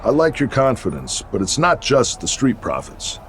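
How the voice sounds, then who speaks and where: An actor playing a stern, commanding veteran wrestler who leads a faction in a video game, talking curtly and with authority. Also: male voice, medium pitch, big pitch swings, normal speed, a little hiss or hum, close to an everyday voice. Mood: confident, commanding. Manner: curt and authoritative. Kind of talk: scripted video game cutscene acting.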